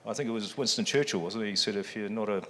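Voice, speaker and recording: A man's voice: on the low side, fast, a bit bright, a little hiss or hum. A man with a New Zealand accent speaking on a panel, joking and self-deprecating, with plenty of ums. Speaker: panelist, fund chief executive. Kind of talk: public forum talk. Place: large event hall. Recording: long hall reverb.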